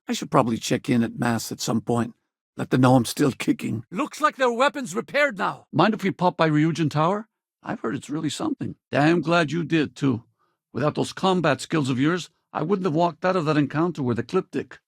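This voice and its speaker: An actor playing an easygoing, gruff middle-aged spacefaring militia veteran and regretful father in a video game, with a gravelly voice and a casual, folksy, wry manner. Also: on the high side, big pitch swings, a little fast, no echo, clean studio sound, exaggerated voice. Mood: combat shouting, urgent. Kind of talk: video game companion dialogue.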